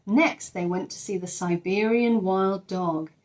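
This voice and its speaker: A woman's voice: medium pitch, a little slow, darkish tone. A woman teacher reading a storybook expressively to young children, with chatty asides to the listeners. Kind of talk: animated storybook read-aloud.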